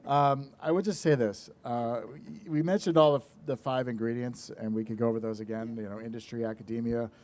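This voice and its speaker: A middle-aged man speaks on a conference panel at a middle pitch. He is measured and dryly funny. He pauses on fillers between points. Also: steady tone, a little mellow. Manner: dry humor, measured, with fillers. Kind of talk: panel discussion.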